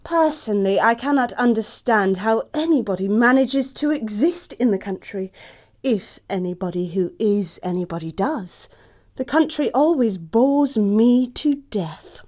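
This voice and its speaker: A woman's voice: fairly high pitch, expressive, measured pace, a little mellow. A woman acting a snobbish young English society lady in an audio play comedy, with pompous, precise, disdainful delivery. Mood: icily polite. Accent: English upper-class.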